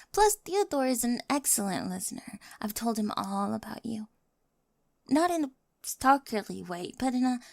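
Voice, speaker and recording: A woman's voice: a little high, vocal fry, a little mellow, no room sound, heavily acted. A woman in an ASMR roleplay, playing a quirky, awkward teenage girl with a crush who rambles nervously and stammers to the listener. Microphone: close microphone, dry.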